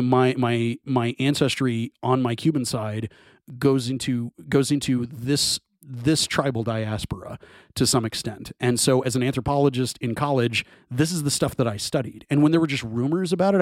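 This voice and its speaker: An enthusiastic man talks in long, winding runs, restarting his sentences as the ideas pile up. His voice sits at an ordinary middle pitch. It is recorded close and dry, with no room sound. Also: normal speed, dry recording. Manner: enthusiastic, rambling with many restarts. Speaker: podcast co-host. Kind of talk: casual film discussion.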